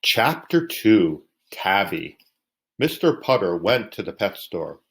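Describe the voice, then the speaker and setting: Guy with a lowish voice reading a kids bedtime story out loud. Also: very animated, takes it slowly, rough recording. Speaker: storybook reader.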